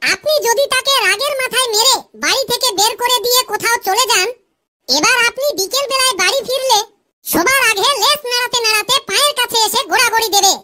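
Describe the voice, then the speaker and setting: Tiny squeaky cartoon pupil, super high n sharp, cheeky, rambles on earnestly. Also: brisk, slight background noise, full character voice. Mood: earnest, theatrical. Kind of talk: cartoon comedy dialogue.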